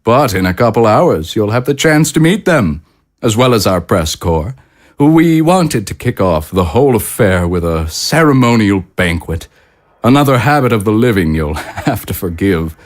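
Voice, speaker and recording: Low-voiced, polished statesman played by a man. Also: sing-song. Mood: courteous, formal. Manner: formal, courteous, measured politician's phrasing. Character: polished, diplomatic male political leader hosting a summit. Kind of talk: audio drama acting.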